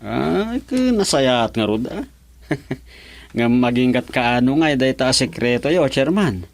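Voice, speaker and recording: An actor with an Ilocano Filipino accent playing a chatty, curious village official in a radio comedy drama. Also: male voice, medium pitch, expressive, takes it slowly, vocal fry. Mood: curious, prodding. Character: village official, chatty curious man, son-in-law of the chairman.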